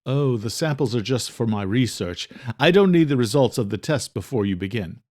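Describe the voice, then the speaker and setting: A man's voice: mid-range voice, lively intonation, a little mellow, lightly acted. Man playing a composed, formal older doctor. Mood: courteous. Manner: measured, formal, unhurried. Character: composed, courteous older British doctor and researcher.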